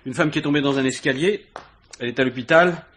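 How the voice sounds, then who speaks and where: A man's voice: medium pitch, fast talker, a bit bright, husky. A man acting a matter-of-fact, persistent police inspector who asks informal questions in a radio crime drama. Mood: casual, brisk. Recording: noisy vintage radio recording.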